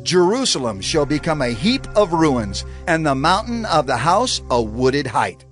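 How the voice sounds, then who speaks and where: A man's voice: a little high, very animated, measured pace, fairly bright tone, soft background music. A man giving a dramatized, solemn reading of scripture as an audio Bible narrator, declamatory and emphatic.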